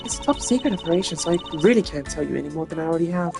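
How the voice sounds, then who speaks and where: A woman's voice: deep voice, animated, very fast, gravelly, music in the background. A woman voicing a proud, fierce young female investigator in haughty, intense video game dialogue. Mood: guarded.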